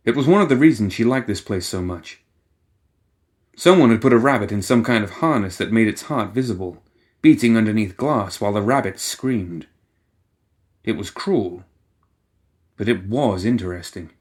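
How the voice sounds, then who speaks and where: A man's voice: on the low side, lively intonation, normal speed, long pauses. A man reading scripted fiction as an audiobook narrator, in a dramatic storytelling style.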